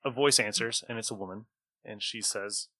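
Bright-voiced man running a tabletop game. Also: fairly low pitch, normal speed, no echo. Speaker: game master. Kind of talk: narrating a tabletop roleplaying game.

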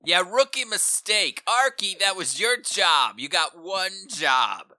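A man's voice: sits high, sing-song, takes it slowly, sharp tone, no room sound, clean studio sound. A man playing a tabletop role-playing game, bantering and joking with his friends.

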